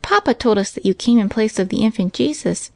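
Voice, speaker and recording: A woman's voice: a little high, animated, fairly quick, vocal fry, warm tone, no room sound, pitched above the performer's own voice. A woman voicing an eager, trusting little girl in a storybook, earnest and coaxing. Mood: sweet, coaxing. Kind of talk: storybook character voice.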